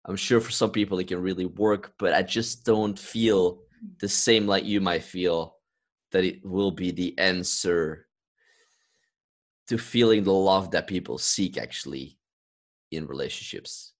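A man's voice: sits low, a little slow. A man from Belgium chatting casually as a podcast host, explaining his views in an earnest way. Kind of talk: casual conversational discussion.

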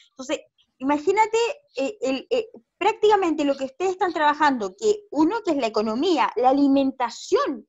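High-voiced woman interviewer, dull audio. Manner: wordy, roundabout questions. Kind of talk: conversational interview.

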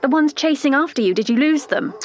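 A woman playing a wary, reluctant escaped parrot in an audio drama, guarded, anxious and halting. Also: high voice, animated, a little hiss or hum. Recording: music behind the voice.

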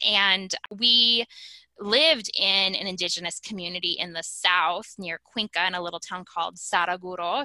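A woman's voice: medium pitch, pushed and bright, no echo, poor audio. A young woman from Ecuador, a guest on a casual podcast, telling her story in a bubbly, laughing way. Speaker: guest, small business owner.

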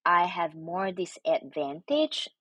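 Soft husky woman. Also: fairly low pitch, very animated, no room sound. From Malaysia. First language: Mandarin Chinese. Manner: halting, many restarts and fillers. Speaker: guest. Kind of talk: interview answers.

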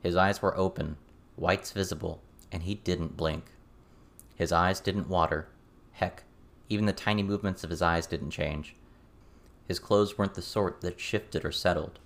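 A man with a low-pitched voice narrates an audiobook story. His phrasing is measured and deliberate, following a written fiction text. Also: fairly even intonation, fairly bright tone.

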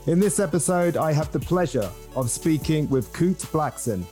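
A man's voice: a little high, expressive, normal speed, mellow, faint music behind. A man with an English accent hosting a podcast and greeting his guest in a friendly, upbeat way. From England. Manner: friendly, upbeat, sings intro lines. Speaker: podcast host. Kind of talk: podcast interview.

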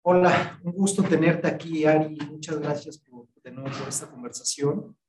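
A man's voice: on the high side, normal speed, a little mellow, soft background music. A man hosting a podcast, reading a scripted intro and then asking friendly questions with small stumbles. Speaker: podcast host.

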